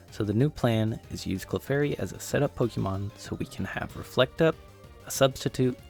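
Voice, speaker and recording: Low, creaky man narrating gameplay. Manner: casual, wry, self-deprecating. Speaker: gaming video creator and narrator. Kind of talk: scripted gameplay commentary.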